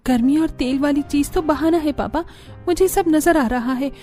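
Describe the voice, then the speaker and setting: Brief: actress as a complaining young cartoon daughter, very high, mellow tone, whiny and irritated. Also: female voice, normal speed, faint music behind, lightly acted. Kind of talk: Hindi cartoon story voice acting.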